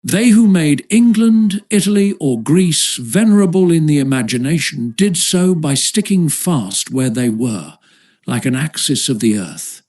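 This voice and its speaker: Man reading an audiobook, slightly high-pitched. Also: sing-song, unhurried, darkish tone. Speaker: audiobook narrator. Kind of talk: audiobook reading of a philosophical essay.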